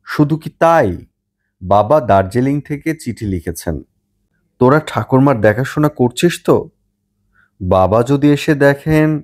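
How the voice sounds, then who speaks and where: A flattering young granddaughter from a storybook, played by a male reader. She coaxes and pleads sweetly, gushing as she goes. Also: medium pitch, a little slow, pauses often, voice pushed up, lightly acted. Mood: coaxing.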